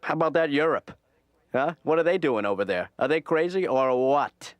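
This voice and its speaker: A man's voice: fairly high pitch, lively intonation, moderate pace, no room sound, close to an everyday voice. An actor playing an aimless, overconfident young man who rambles with many halts. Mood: rambling.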